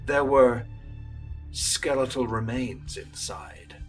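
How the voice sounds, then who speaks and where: A man playing a grave, courteous coast guard captain, measured and formal, in old-time radio drama style. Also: mid-range voice, soft background music, natural voice.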